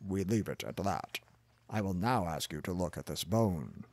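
A man's voice: low-pitched, expressive, moderate pace, darkish tone, dry recording, pitched below the performer's own voice. A man reading an audiobook, voicing a pompous, contemptuous older male professor in a blustering, condescending manner. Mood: lecturing. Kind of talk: audiobook character voice.

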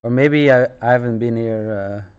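A man in his thirties chatting casually on a live stream from a small office, with a naturally loud voice and relaxed chuckling asides, into a headset mic. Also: mid-range voice, moderate pace, smooth. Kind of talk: casual chat while drawing on stream. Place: small office room, indoors. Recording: dull lo-fi stream audio.

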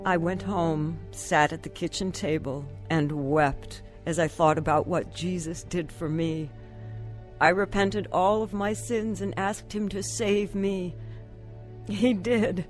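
A woman's voice: lowish voice, fairly even intonation, takes it slowly, faint music behind. An actress playing a troubled, earnest woman telling her story of faith in a radio drama. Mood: grateful, testifying. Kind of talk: radio drama acting and first-person narration.

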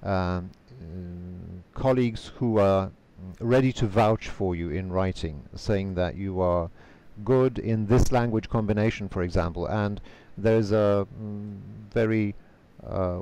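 Man, Polish native, careful measured speech, deep register, hesitant fillers, video-call sound. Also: darkish tone. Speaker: guest, conference interpreter. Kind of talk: interview answers.

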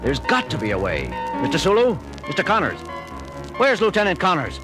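A commanding starship captain with a very animated, dramatic delivery. Music plays clearly behind him in this old audio drama. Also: male voice, high-pitched, low-quality recording. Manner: clipped commands, then slow reflective speech. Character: commanding male starship captain, resolute, reflective.

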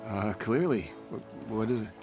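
A man acting a gentle middle-aged scientist in a game, full of regret. His very low voice is subdued and halting, with clear music behind it. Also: close to an everyday voice. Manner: hesitant, subdued. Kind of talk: naturalistic video game cutscene acting.

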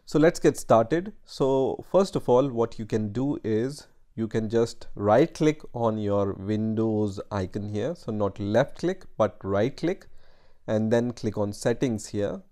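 Low mellow man giving tech tutorial. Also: slow pace. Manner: plain step-by-step instruction with frequent "uh" and "right?". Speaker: tutorial host. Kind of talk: screen-recorded software tutorial.

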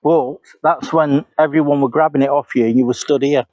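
Man in his fifties, mellow tone, dry lapel mic, outdoor woods. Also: a little high, steady tone, fairly quick, dry recording, poor audio. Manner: musing, retracing steps aloud. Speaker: paranormal investigator. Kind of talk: casual walk-and-talk vlog. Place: woodland outdoors. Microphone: clip-on lapel mic.

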